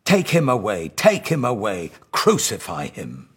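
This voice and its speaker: A man voicing hostile, insistent priests and officials in a dramatised scripture audiobook, loud, drawn-out and demanding. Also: lowish voice, huge pitch swings, slightly rough, full character voice. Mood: clamouring.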